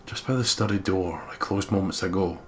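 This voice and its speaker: Man, low voice, game host, reading visual novel narration, slight background noise. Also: fairly quick. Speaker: let's play host.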